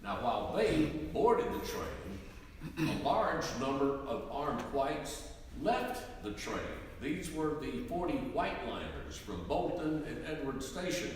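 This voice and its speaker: A man's voice: medium pitch, very animated, slow pace. A man narrating scripted history for a dramatic reading. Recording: very echoey hall, distant mic, faint noise.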